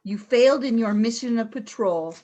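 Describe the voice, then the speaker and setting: A woman's voice: on the high side, normal speed, mellow, close to an everyday voice. A woman playing a cold, ruthless alien warrior leader in a radio play, giving imperious, clipped commands. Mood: cold, threatening.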